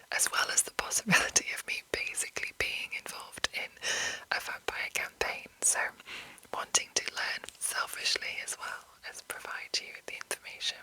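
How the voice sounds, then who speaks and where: A woman whispering an informal, rambling ASMR explainer as the host, in a breathy, unvoiced voice. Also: bright, forceful tone, poor audio. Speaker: host of ASMR video series on roleplaying games.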